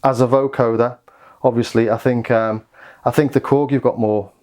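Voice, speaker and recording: A man with a smooth, clear voice of ordinary pitch reviews synthesizers. He talks casually and thinks aloud, hesitating and circling back as he demonstrates the gear. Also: fairly even intonation, normal speed, a little mellow.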